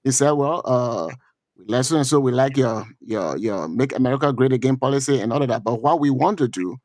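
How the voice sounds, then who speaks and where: A middle-aged man with a Liberian accent comments on politics for a streamed talk show. He speaks at a middle pitch and halts often, repeating words and filling gaps with "uh." His voice is recorded dry and close to the mic. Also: darkish tone, no echo. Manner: halting, repeats words often, many fillers.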